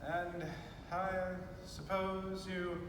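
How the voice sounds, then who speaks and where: Guy acting old british general onstage, stammery and polite, pretty high voice, far off mic in big echoey hall. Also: completely flat delivery. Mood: polite, awkward. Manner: halting, stammering, formal old-fashioned politeness. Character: flustered, courteous elderly British gentleman general. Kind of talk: student stage play acting. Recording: echoey hall, distant mic, faint rumbling noise.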